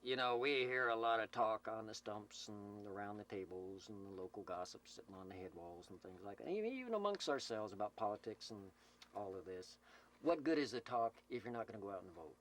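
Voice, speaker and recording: A man's voice: fairly low pitch, lively intonation, a little fast, a bit bright. A man hosting a community CB radio roll call, giving folksy, improvised announcements in a joking, teasing, rambling way. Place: Slab City, California desert camp. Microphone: CB radio microphone, filmed while speaking.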